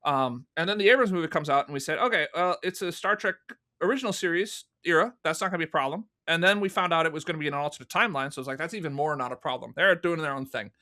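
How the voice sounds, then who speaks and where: A man's voice: on the high side, rapid-fire, sharp tone. A man being interviewed as a guest, explaining at length in a conversational way and acting out remembered remarks as he goes. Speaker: guest, fan audio drama producer. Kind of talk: conversational interview answers, storytelling.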